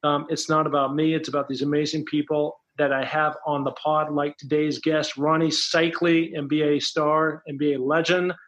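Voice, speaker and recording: A man's voice: a little high, little pitch movement, moderate pace, few pauses, fairly bright tone, dull audio. A middle-aged man hosting an interview podcast, talking in a friendly, rambling way. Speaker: podcast host.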